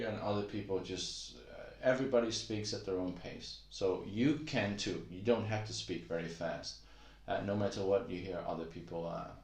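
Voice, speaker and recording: A man's voice: lowish voice, a little mellow. A middle-aged man teaching Chinese pronunciation in a casual video lesson, patient and repetitive, with lots of "uh" fillers. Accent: Taiwanese-accented Mandarin. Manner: patient, repeats himself, many fillers. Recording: echoey room.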